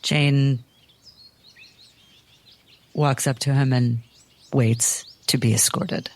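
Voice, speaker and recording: A woman playing in a tabletop roleplaying game, narrating and speaking in character in a guarded, deliberate way. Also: extremely low pitch, fairly even intonation.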